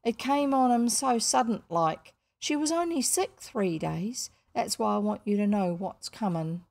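A woman's voice: a little high, expressive, unhurried, creaky, a little mellow. A woman voicing a blunt, scolding working-class housekeeper in a storybook, with unrefined rustic speech and dropped letters. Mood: grimly frank.

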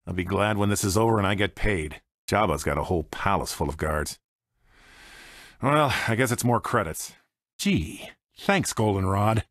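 An actor playing a cocky, grumbling smuggler pilot in a video game, with an American accent and short sardonic quips. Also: male voice, on the low side, lively intonation, normal speed, a bit bright, dry recording. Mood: wry, grumbling. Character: cocky, wry male smuggler pilot, grumbling reluctant hero. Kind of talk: video game character voice lines.

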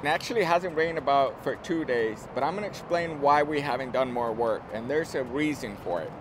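A man in his thirties talks through a construction site like a company guide showing clients around. His pitch is average for a man. He explains informally and off the cuff, repeating and rewording as he goes. Outdoor noise is audible around his voice. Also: expressive, a little fast. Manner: informal, repeats and rephrases himself. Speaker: construction company presenter giving a site tour. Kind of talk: unscripted explanatory walkthrough. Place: outdoors on an open steel-frame construction site.

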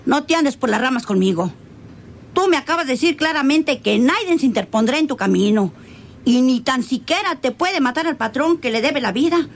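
An actress playing a scornful, sharp-tongued hacienda woman with a Mexican accent, theatrical and dismissive in a radio drama. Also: sits high, animated, normal speed, slight background noise. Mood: indignant, dismayed. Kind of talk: radionovela acting.

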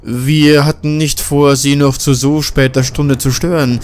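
A man playing a calm, reassuring male journalist. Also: mid-range voice, measured pace, voice pushed up, natural voice.

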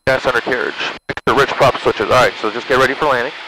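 A man's voice: medium pitch, fairly even intonation, quick pace, bright, forceful tone, poor audio. An American man piloting a small plane, chatting casually and explaining as he goes between radio calls over a headset. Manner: explains things as he goes, checking with his listener. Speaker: pilot and vlogger. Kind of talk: casual cockpit chat mixed with radio calls. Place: small propeller plane cockpit in flight. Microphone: aviation headset. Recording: cockpit headset intercom, engine hum.

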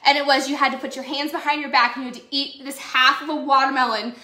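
A woman's voice: high-pitched, steady tone, fast, pushed and bright. A young woman, chatting to viewers on a live grocery haul from her home kitchen, rambling and giggly. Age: young adult. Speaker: livestream host. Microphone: phone camera at arm's length. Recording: echoey kitchen with faint noise.

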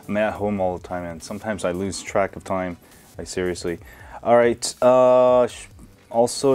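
Low male voice, relaxed hands-on tech reviewer, lots of fillers, faint music underneath. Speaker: tech video host. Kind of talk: casual hands-on phone review. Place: indoors at a desk.

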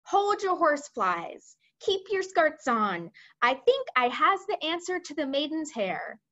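Lady doing a friendly excitable giant from a kids book, jumbled grammar, highish bouncy voice, bit muffled audio. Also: slow pace, exaggerated voice. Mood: excited. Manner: exclaiming, garbled grammar and mispronounced words. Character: gentle, excitable giant with muddled childlike grammar.

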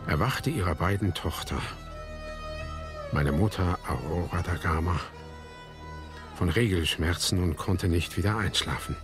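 An actor playing a grave son who narrates his family's history in a radio drama, sombre and deliberate. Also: sits low.

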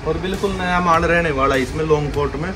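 A man's voice: fairly high pitch, fast talker, music in the background. Young man with a pushy sales patter. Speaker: wholesale clothing seller. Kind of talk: sales pitch video. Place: large indoor clothing warehouse.

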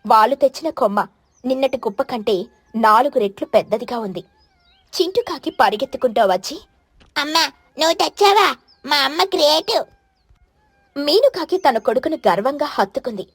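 A woman's voice: fairly high pitch, breathy edge, no room sound. A woman narrator reading a scripted children's story.

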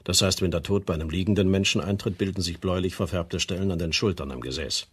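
A man acting as a precise, sceptical pathologist in a German radio crime drama, explaining in a measured, clinical way. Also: sits low, breathy edge. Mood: measured, concerned. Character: precise, sceptical male pathologist reporting autopsy findings.

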